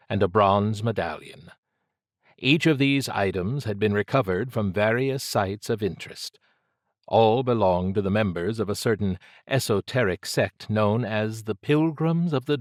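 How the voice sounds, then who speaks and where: A man's voice: fairly low pitch, no room sound, clean studio sound. A man reading scripted dramatic fiction as an audiobook narrator.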